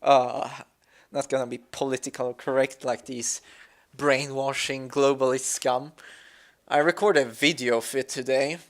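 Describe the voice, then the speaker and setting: A man's voice: mid-range voice, fairly even intonation, measured pace. A young man from Sweden with a Swedish accent, whose first language is Swedish, giving casual commentary over a game, hesitant, with many fillers and restarts. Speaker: gaming YouTuber.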